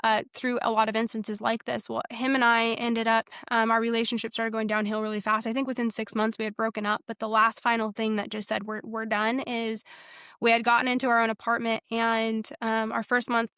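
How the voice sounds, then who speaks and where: A woman's voice: a little high, monotone, fairly quick, fairly bright tone, clean tone, dry recording, clean studio sound. A young woman frankly telling her personal faith story in a podcast interview, earnest, with many fillers and restarts. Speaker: guest sharing her testimony.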